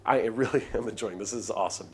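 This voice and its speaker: A man's voice: lowish voice, quick pace, darkish tone. A man reading a visual novel aloud on a live stream, moving between dramatic narration and casual asides.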